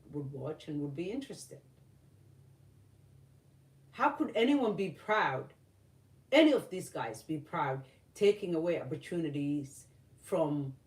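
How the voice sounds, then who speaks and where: Woman vlogger, lowish voice, hesitant and earnest, roomy off-mic sound. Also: a little mellow, distant mic. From Somalia. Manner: earnest, halting, many fillers and restarts. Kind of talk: opinion commentary.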